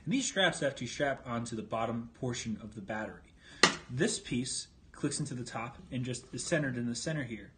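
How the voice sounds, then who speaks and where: A man's voice: medium pitch, animated, brisk, very noisy. A man presenting an informal hands-on demonstration, explaining things step by step in a plainspoken way. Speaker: presenter demonstrating vintage military radio equipment.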